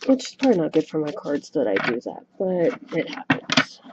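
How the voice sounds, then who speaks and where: A soft-spoken woman giving a tarot reading to camera at home, chatty and a little self-conscious, recorded on a webcam microphone. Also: sits low, very animated, normal speed, heavy background noise. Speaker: tarot reader. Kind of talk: casual solo reading to camera. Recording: dull lo-fi webcam mic recording.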